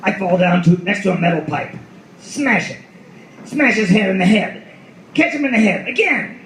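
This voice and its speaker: An actor playing a hard-boiled last detective in an apocalyptic radio play, speaking in a terse, urgent, profane way. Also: male voice, sits high, lively intonation, normal speed, a little hiss or hum. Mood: desperate. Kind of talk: noir radio play reading before an audience. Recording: echoey live room, distant mic, loud guitar behind.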